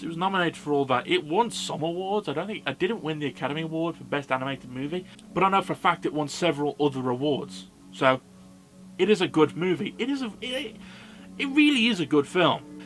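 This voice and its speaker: Higher-voiced man, blunt film reviewer. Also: expressive, a little fast, fairly bright tone. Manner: blunt, sweary, informal. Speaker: film review video host. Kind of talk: casual scripted movie review commentary. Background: background music.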